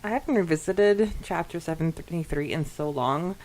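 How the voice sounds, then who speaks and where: A woman co-hosting a podcast in a casual, giggly, expressive way as she reads and reacts to listener comments. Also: low voice, normal speed, a little hiss or hum, rough recording. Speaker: podcast co-host.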